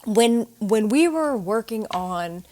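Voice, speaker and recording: A woman in her forties with a slight Southern American twang, answering interview questions in a thoughtful, measured way. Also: on the high side, expressive, warm tone, a little hiss or hum. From United States. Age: 40s. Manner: thoughtful, measured, with some fillers. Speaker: guest, author.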